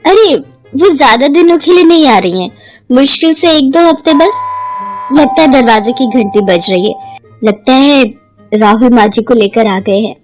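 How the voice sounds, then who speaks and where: A woman puts on a young daughter-in-law for a cartoon family tale, dutiful and eager to please. Her voice is lifted high and sits over background music. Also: lively intonation, normal speed, poor audio. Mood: chatty. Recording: music behind the voice.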